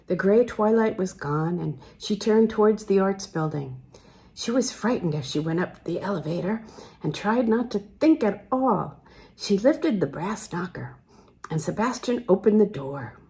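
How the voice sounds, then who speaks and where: A woman reading literary fiction aloud as an audiobook narrator, measured and expressive, with deliberate pauses. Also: lowish voice. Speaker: audiobook reader.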